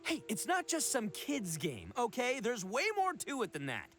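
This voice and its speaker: A man's voice: extremely high pitch, wildly swooping pitch, normal speed, fairly bright tone, faint music behind, heavily acted. A man voicing a brash, goofy young self-proclaimed expert in an anime game, bragging theatrically in slangy speech. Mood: boastful, enthusiastic.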